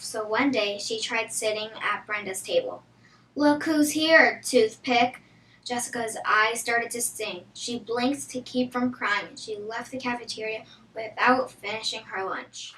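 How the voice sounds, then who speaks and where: A woman's voice: on the high side, fairly bright tone, a little hiss or hum. A woman reading a children's story aloud from a book. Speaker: storybook reader. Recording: echoey room, distant from the microphone.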